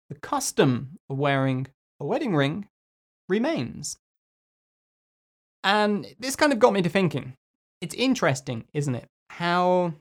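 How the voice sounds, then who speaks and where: A man hosting a solo English-learning podcast, hoarse from losing his voice, talking in a chatty, musing way with little asides. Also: a little high. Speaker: podcast host and English teacher.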